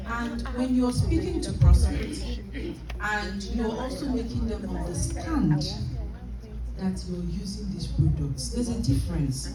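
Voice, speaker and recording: A woman giving a motivational sales training talk at a convention, animated and emphatic, often laughing. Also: medium pitch, unhurried, loud music behind the voice. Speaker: business trainer. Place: large convention hall. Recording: echoey hall from far off.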